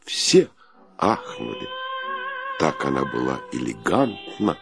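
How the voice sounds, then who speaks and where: An actor narrating a comic radio play as a wry male storyteller with a gravelly voice and a measured, dryly ironic delivery. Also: very deep voice, huge pitch swings, over music, full character voice. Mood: measured storytelling.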